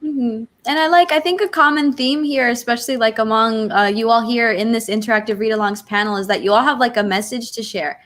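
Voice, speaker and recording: A woman's voice: on the high side, fairly even intonation, fairly quick, few pauses, fairly bright tone. A woman hosting an author panel, talking in an informal, wordy way and often saying "like". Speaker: panel host. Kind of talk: casual interview hosting.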